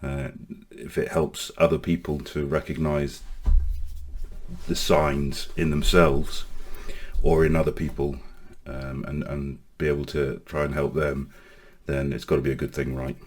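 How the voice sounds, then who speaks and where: A man in his forties from Northern Ireland speaks in a very deep, low voice, his accent now mostly English. He talks in a halting, hesitant way, restarting phrases often, and his tone stays flat and even. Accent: English with Northern Irish traces. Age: 40s. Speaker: online safety vlogger. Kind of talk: personal storytelling to camera.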